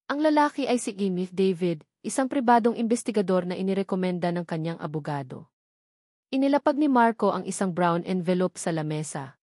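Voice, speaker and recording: A woman's voice: mid-range voice, darkish tone, no echo. Filipino woman narrating a dramatic story, dry sound.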